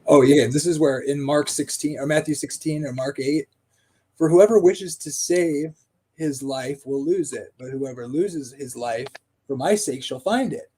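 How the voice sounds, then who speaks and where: A man in casual discussion who reads passages aloud and thinks out loud, halting with fillers. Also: fairly high pitch, slightly rough.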